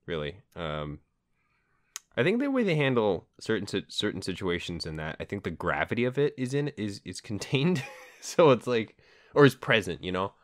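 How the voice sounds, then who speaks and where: A man's voice: on the low side, sing-song, moderate pace, dry recording, clean studio sound. A man hosting a casual conversational interview, agreeable and reflective, feeling his way through his phrasing. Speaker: podcast host.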